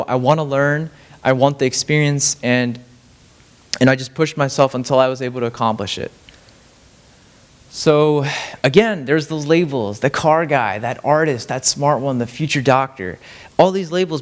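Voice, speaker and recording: A man's voice: on the high side, brisk. A young man giving a casual, rambling talk on stage as a student speaker, self-deprecating and full of fillers and restarts. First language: Farsi. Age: young adult. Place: lecture stage.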